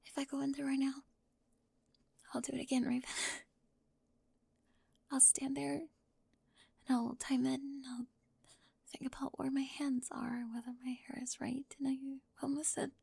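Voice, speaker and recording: A woman playing an anxious, perfectionist teenage girl in an ASMR roleplay, halting and fragile, often dropping into a breathy whisper. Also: high-pitched, dark tone, dry recording, full character voice. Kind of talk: ASMR roleplay audio drama.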